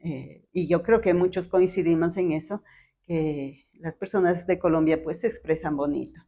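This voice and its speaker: A woman hosts a relaxed podcast conversation. Her voice is somewhat low. She asks friendly questions and often affirms what her guest says. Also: moderate pace. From Ecuador. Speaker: podcast host. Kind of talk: casual interview chat.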